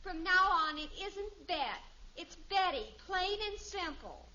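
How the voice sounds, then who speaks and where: Very high, bright willful daughter. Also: female voice, measured pace, full character voice. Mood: indignant. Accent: American. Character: willful, dramatic young daughter of a suburban family. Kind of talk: 1950s radio sitcom acting. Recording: muffled vintage radio recording with hiss.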